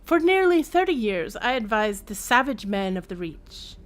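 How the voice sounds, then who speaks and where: A woman's voice: a little high, big pitch swings, moderate pace. A woman voicing a pompous old imperial chancellor, declaiming his journal aloud from a fantasy game. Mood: self-important. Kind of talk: dramatic read-aloud of in-game text.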